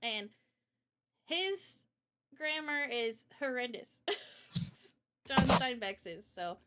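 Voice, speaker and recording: A woman streamer hosting a casual live chat, talking in an animated, opinionated way with rambling asides. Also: a little high, extremely slow. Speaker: streamer and host.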